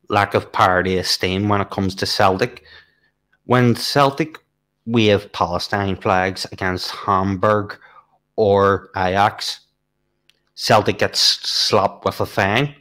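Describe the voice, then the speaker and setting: Slow, low-voiced man asking pointed questions. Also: a bit bright. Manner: measured, questioning, builds points with hesitant fillers. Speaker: political podcast co-host. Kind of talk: casual political discussion.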